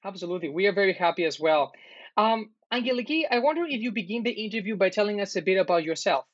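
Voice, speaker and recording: A man's voice: high voice, expressive, fast talker, dry recording. A man hosting a podcast, introducing his guest with many ums. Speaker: podcast host. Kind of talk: interview introduction. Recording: dull dry lo-fi audio.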